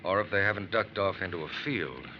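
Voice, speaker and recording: An actor playing a brisk, suspicious FBI agent in an old radio crime drama, asking terse questions. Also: male voice, low voice, animated. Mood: watchful. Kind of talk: 1940s-50s radio crime drama acting. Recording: muffled old radio recording with noise.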